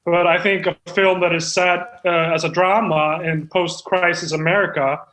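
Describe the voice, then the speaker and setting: A man playing a pretentious amateur screenwriter in a comedy table read, with a halting, self-important way of talking. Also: high-pitched, steady tone, fairly bright tone, low-quality recording. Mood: pretentious, rambling. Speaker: screenwriter reading stage directions at a table read.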